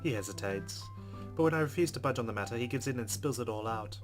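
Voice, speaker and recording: A man's voice: mid-range voice, lively intonation, quick pace, soft background music. A man hosting a let's play, expressively reading a visual novel's narration aloud and adding his own reflective reactions.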